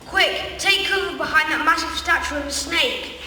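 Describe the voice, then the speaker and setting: A woman's voice: high-pitched, moderate pace, sharp tone. A young woman playing a casual, lazy schoolboy wizard hero in a comic amateur radio play. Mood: alarmed. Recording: very echoey hall from far off, faint noise.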